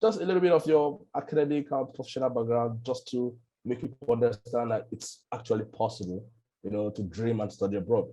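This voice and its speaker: A man moderating an online panel over a video call, relaxed and leaning on "you know" as he talks. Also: medium pitch, brisk, poor audio. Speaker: webinar moderator.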